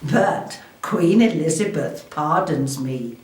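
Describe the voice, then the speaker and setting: A woman playing a proud, shrewd, wealthy Elizabethan noblewoman, delivering a formal, theatrical first-person historical monologue in a deliberate way. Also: sits low, animated, a little mellow. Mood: dramatic, proud. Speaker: volunteer historical re-enactor. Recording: echoey room, distant microphone.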